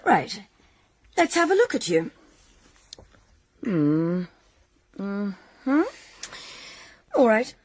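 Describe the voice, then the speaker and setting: A bossy young osteopath in a radio play, curt and husky. Her pitch moves around a lot, and the sound is close and dry. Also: big pitch swings, extremely slow, pauses often, darkish tone, dry recording, exaggerated voice. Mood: brisk, commanding. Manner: curt, commanding. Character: brisk, no-nonsense young female osteopath, bossy. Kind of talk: radio drama acting.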